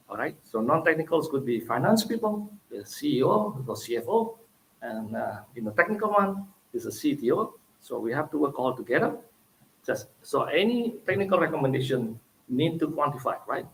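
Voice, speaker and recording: A man's voice: medium pitch, low-quality recording. Indonesian-accented man giving a technical talk. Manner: explanatory, frequent "okay?" and "right?" tags, halting fillers. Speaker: conference presenter. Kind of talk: technical conference presentation.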